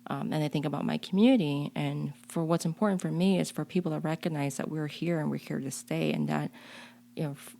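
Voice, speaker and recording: Low creaky-voiced woman guest. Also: fairly even intonation, brisk, dark tone. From born in a refugee camp on the Cambodia-Thailand border, raised in Chicago. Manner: earnest, reflective, with frequent restarts. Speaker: guest, community leader. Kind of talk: interview conversation.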